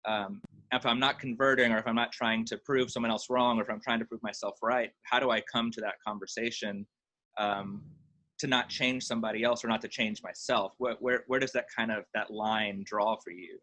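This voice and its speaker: A man leads the conversation with probing questions, rephrasing them as he goes. His voice sits low, with a bright, forward edge to it. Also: steady tone, a little fast.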